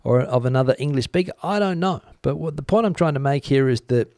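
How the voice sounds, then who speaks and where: A man's voice: mid-range voice, lively intonation, fast, darkish tone, dry recording, clean studio sound. A man hosting a live stream, talking through the news in a casual, conversational way and musing as he answers viewers. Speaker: host of a live commentary stream. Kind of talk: casual news commentary, reading out viewer comments.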